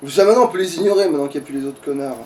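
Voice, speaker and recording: A man's voice: a little high, very fast, a little mellow, recorded from a distance. A man chatting casually as he plays a video game, grumbling and swearing in an informal, conversational way. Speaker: let's play gamer, playing the game. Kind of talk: casual gaming chat, commentary.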